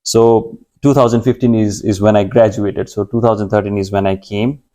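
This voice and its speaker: A man's voice: on the low side, fairly even intonation, moderate pace, mellow. A man from Nepal in his thirties, a guest speaking in a conversational interview, hesitant with many fillers and restarts.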